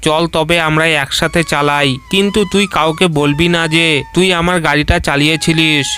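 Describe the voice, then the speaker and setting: A man's voice: a little high, fairly even intonation, moderate pace, sharp tone, faint music behind. A man voicing a playful young boy tiger cub in a cartoon. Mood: secretive, friendly. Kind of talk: cartoon voice acting.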